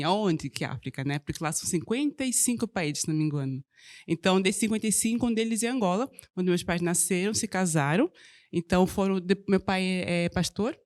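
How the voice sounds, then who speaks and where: A woman in her forties from Portugal, a studio interview guest telling her story in a relaxed, chatty way with frequent tag questions. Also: mid-range voice. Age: 40s. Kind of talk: casual interview talk.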